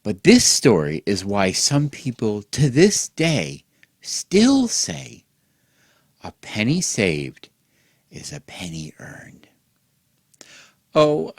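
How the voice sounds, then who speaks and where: A man hosting and telling a mock children's bedtime story in an exaggerated gentle, sing-song way, as if speaking to small children. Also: medium pitch, no room sound, studio quality. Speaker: host and storyteller.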